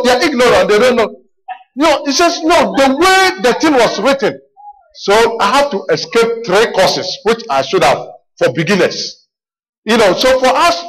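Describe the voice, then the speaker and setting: A middle-aged Ghanaian man preaches in a high voice, spinning personal stories into his sermon. He talks animatedly, doubles back on his words and calls out "Amen" to his listeners. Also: rough recording. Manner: animated storytelling, repeats himself, calls "Amen".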